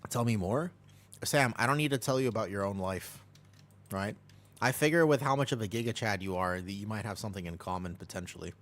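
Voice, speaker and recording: Low-voiced American man chatting on stream. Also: brisk, fairly bright tone. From United States. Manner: chatty and rambling, reads and answers chat, laughs easily. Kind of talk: casual gameplay stream chat.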